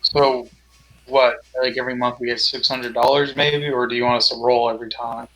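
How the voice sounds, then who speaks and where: Male game player, average pitch, informal table talk. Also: little pitch movement, a little fast, fairly bright tone, low-quality recording. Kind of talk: casual chat.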